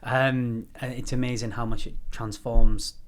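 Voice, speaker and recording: A man's voice: mid-range voice, flat delivery, unhurried, a little hiss or hum. A man in his thirties hosting an interview, friendly and chatty, with many small agreements. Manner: friendly, chatty, frequent yeah and um. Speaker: podcast host.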